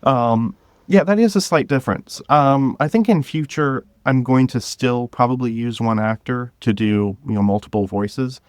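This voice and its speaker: A man talking casually and enthusiastically as an interview guest, in an informal, halting way. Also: medium pitch, no echo, studio quality. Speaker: podcast guest, drama creator.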